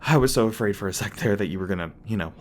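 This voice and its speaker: A man's voice: on the low side, expressive, fast, slight background noise, natural voice. A man playing a flustered, tender demon boyfriend in an intimate ASMR roleplay, speaking hesitantly with stammers, bashful and a little defensive. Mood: teasing, relieved. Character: flustered, tender young male demon boyfriend, secretly human-born.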